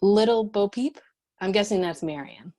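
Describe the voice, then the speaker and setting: A woman playing a guarded but caring leader in a screenplay table read, measured and matter-of-fact. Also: mid-range voice, dark tone, close to an everyday voice. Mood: wry.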